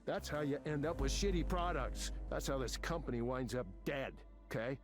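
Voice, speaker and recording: A man acts out a middle-aged tech company veteran in a video game, arrogant and bitter. He rants in a combative, sarcastic, profane way at a medium pitch. Music plays clearly behind him. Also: normal speed, lightly acted. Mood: angry. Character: angry veteran male tech manager, arrogant, bitter, ranting. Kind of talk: visual novel game voice acting.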